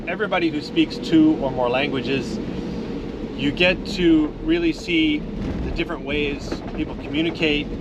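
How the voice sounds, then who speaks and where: A man whose first language is English, talking casually to viewers in a relaxed, rambling way with frequent restarts. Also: fairly high pitch. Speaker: vlogger. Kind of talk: casual talk to camera. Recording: loud background noise.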